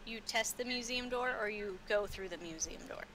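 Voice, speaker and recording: A woman narrating a tabletop game as game master, improvising haltingly and repeating phrases for emphasis. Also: mid-range voice. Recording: clear background noise, room ambience.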